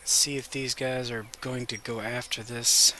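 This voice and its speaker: A man with a cold, sounding congested, giving relaxed, chatty commentary while playing a game and talking to his viewers. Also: mid-range voice, a little slow, a little hiss or hum. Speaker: gaming video host. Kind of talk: casual gameplay commentary.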